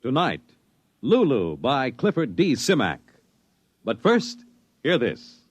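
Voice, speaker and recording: A man's voice: medium pitch, wildly swooping pitch, slow, background noise. A man with an American accent delivering a theatrical, portentous opening in 1950s radio announcer style. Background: dramatic music.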